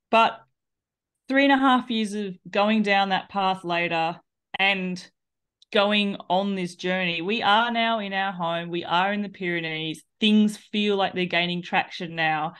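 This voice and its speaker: Australian woman, 30s, guest on a video call interview; lower register, long chatty answers. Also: fairly bright tone. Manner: chatty, long-winded storytelling with laughs. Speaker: guest, cycling tour business owner. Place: small room at home. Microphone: headset on a video call.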